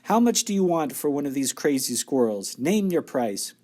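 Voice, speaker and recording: A man playing a pompous rich father in a storybook reading. He speaks loudly and importantly, as if used to giving orders. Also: on the high side, very animated, moderate pace.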